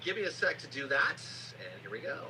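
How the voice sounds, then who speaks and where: A man's voice: mid-range voice, big pitch swings, brisk, sharp tone. A man hosting a podcast, introducing and questioning his guest in a conversational way. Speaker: podcast host. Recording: noisy, humming live stream audio.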